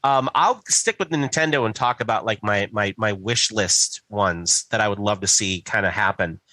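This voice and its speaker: Low-voiced man with a bright, dry sound. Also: no echo. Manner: halting, repetitive starts, profane. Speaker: podcast co-host. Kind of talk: casual chat.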